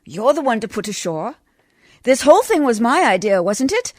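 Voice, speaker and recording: A woman's voice: on the high side, sing-song, moderate pace, darkish tone, no room sound, clean studio sound. A woman playing a determined, adoring lady adventurer in an old-time radio drama, speaking with proper, earnest diction. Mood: defiant.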